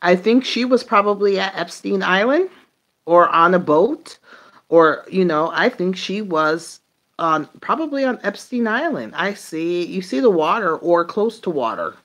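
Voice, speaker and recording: A woman doing a casual, improvised tarot reading for viewers, thinking aloud in a halting way and trailing off mid-phrase. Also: lowish voice, expressive, a bit bright. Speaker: tarot reader.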